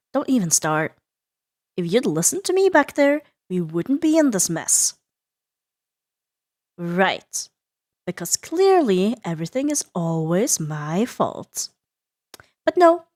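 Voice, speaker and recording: A woman playing a sharp-tongued young female rival in an ASMR roleplay, huffy and sarcastic but grudgingly caring. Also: medium pitch, no echo, studio quality. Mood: sarcastic, irritated. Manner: sarcastic, huffy, theatrical sighs and drawn-out jabs. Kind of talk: ASMR roleplay audio drama addressed to the listener.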